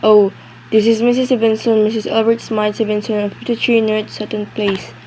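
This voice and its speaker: A woman's voice: medium pitch, level tone, fairly quick, darkish tone, slight background noise, lightly acted, low-quality recording. A woman playing an anxious, demanding invalid housewife in a radio play, indignant, fussy and insistent.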